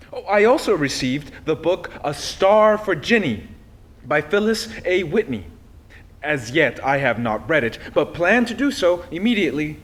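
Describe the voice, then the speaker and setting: A man reading a personal letter aloud expressively, with deliberate phrasing and pauses. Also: fairly high pitch. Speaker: reader of a historical letter.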